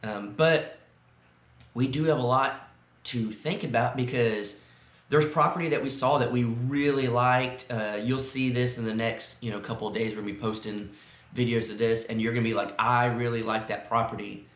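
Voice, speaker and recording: A man's voice: medium pitch, fairly even intonation, normal speed, a bit bright. A man from South Texas, co-hosting a casual vlog chat from a friend's house, rambling and chatty with many fillers. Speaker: vlog co-host. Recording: roomy echo.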